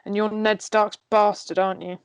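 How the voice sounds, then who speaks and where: A woman's voice: medium pitch, flat delivery, normal speed, clear, resonant tone, no echo, lightly acted. Casting: woman as sardonic, world-weary drunken dwarf nobleman, blunt, fantasy script read, close dry sound.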